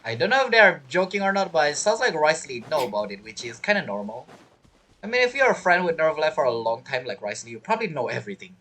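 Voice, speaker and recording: A man's voice: fairly high pitch, sing-song, fast talker, pushed and bright. A man giving casual, playful reaction commentary on a video game story, chiming in informally.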